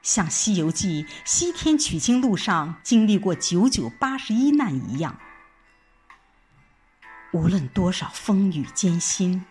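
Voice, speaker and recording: A woman performing dramatic first-person narration in a reflective, expressive recitation with deliberate pauses. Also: lowish voice. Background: background music.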